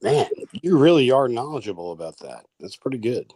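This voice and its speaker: A man's voice: medium pitch, big pitch swings, a little fast, darkish tone, breathy edge. A man in casual conversation, speaking informally and chiming in as a chat partner. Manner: informal, interjecting.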